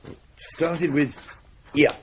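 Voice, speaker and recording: An actor playing a genial solicitor with a jovial, ironic, rambling way of talking, in a radio drama. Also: male voice, on the high side, steady tone, drawn-out words, a little hiss or hum. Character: genial, wry male solicitor. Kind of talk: 1970s radio drama acting. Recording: slightly dull broadcast recording.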